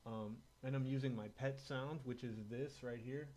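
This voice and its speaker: A man's voice: mid-range voice, fairly even intonation, moderate pace, darkish tone. A man chatting casually and with slangy, sweary informality as he demonstrates a guitar and production setup. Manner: slangy and sweary, laid-back bro talk. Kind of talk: casual chat, informal music tech demo.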